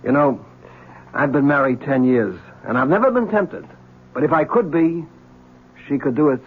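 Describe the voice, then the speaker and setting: Husky, cynical police lieutenant. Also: male voice, fairly high pitch, expressive, normal speed. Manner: sardonic, teasing. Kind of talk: 1970s radio drama acting. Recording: tinny, narrow old radio recording.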